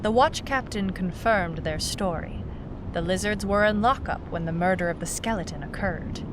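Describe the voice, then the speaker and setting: A woman's voice: on the low side, background noise, natural voice. A woman playing a wry, hard-boiled private eye, clipped and dry, in a noir detective audio drama. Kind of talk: noir detective narration and radio-drama acting.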